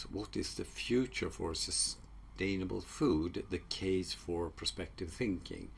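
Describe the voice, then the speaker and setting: A very deep, gravelly-voiced man whose first language is Swedish speaks slowly in a casual solo talk. He halts often with fillers and restarts. Faint noise sits under the recording. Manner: halting, many fillers and restarts. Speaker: psychology researcher.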